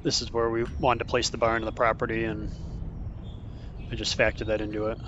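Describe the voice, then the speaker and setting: A man's voice: on the low side, flat delivery, fairly quick. A man giving a casual, plain-spoken voice-over as he explains his building project. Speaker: homesteader narrating his barn build. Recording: dull sound with clear background noise.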